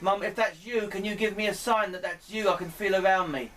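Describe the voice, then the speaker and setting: A man speaking in deliberate, pleading short phrases, putting questions to a spirit as an amateur experimenter. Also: high voice, fairly even intonation, a bit bright. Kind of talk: slow spoken questions addressed to a spirit. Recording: distant mic, clear background noise.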